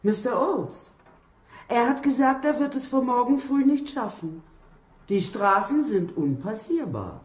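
A stern, curt nurse in a radio thriller. Her middle-pitched voice swings widely, with a roomy echo. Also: sing-song, measured pace, music in the background. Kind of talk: radio play acting. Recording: roomy echoing sound.

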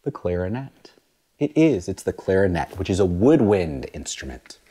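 A man in his thirties reading a picture book aloud and teaching children about musical instruments in an animated, sing-song way, recorded on a lapel mic in a small studio room. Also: low-pitched, normal speed, slightly rough.

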